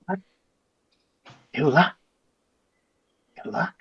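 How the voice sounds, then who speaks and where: A man playing a bitter, vengeful merchant and wronged father in a theatrical Shakespeare reading, declaimed deliberately with emphatic pauses. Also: a bit bright. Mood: eager gloating. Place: online video call reading. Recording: muffled video call audio.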